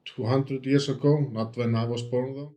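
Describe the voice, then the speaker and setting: A man's voice: mid-range voice, fairly even intonation, normal speed, warm tone. A man from Iceland with an Icelandic accent, giving casual interview answers in a hesitant way, with many fillers and restarts. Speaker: interview guest, book restorer. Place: home.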